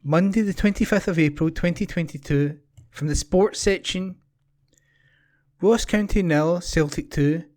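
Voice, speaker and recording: A man reads scripted sports news from a newspaper. His voice is a little higher than most men's. He presents it as a reader for a recorded news service. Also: a little slow, darkish tone.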